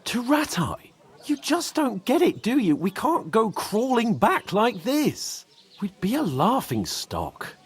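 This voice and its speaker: A man's voice: sits high, huge pitch swings, takes it slowly, husky, exaggerated voice. An actor playing a hot-tempered, sarcastic young nobleman down on his luck in a medieval video game. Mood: indignant. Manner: sarcastic, swearing, griping. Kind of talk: video game cutscene acting.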